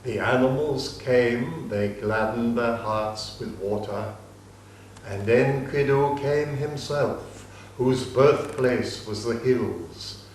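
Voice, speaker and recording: Solemn, slow male storyteller. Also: medium pitch, a little hiss or hum. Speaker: narrator. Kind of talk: dramatic reading of an epic. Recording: distant mic, echoey room.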